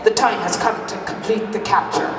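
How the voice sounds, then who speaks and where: A woman's voice: mid-range voice, steady tone, normal speed, close to an everyday voice. A woman playing a bumbling, lovesick male Mountie sergeant, declaiming grandly in live melodrama theater. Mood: grandiose. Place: live amateur stage performance at fair. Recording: echoey hall from far off, noisy.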